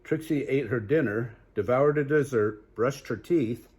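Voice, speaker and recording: An older man reads a children's story aloud in a grandfatherly way, pausing to put chatty questions to young listeners. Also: medium pitch, a little mellow, a little hiss or hum.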